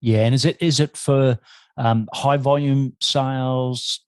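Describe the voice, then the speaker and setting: A man's voice: mid-range voice, fairly even intonation, slow pace, darkish tone, smooth, dry recording. Man hosting a podcast, dry close sound. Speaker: podcast host and interviewer.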